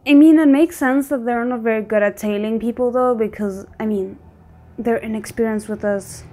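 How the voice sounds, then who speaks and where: Higher-pitched woman giving casual reactions. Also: moderate pace, mellow. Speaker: reaction video host. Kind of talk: casual spontaneous reaction commentary.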